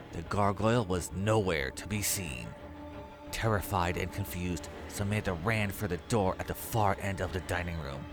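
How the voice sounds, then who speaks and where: A man narrating a scripted horror story as its storyteller. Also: low voice. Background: music.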